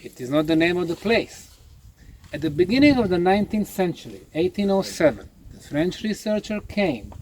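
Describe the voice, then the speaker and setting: A man lecturing on location as a documentary presenter, speaking emphatically and deliberately. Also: high voice, sing-song, a little hiss or hum. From Bosnia. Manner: emphatic, deliberate, asks rhetorical questions.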